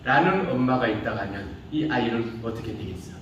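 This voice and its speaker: A man's voice: mid-range voice, expressive, brisk, highs cut off, background noise. A middle-aged man teaching a speech class in a classroom, lecturing casually and teasing the students with rhetorical questions. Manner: informal banter with students. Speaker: speech instructor. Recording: echoey room from far off.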